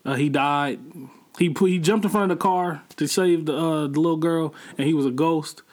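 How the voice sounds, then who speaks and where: A young man chatting casually as a podcast co-host, excitable and rambling, quick to get loud and laugh. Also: fairly high pitch. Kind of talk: casual chat.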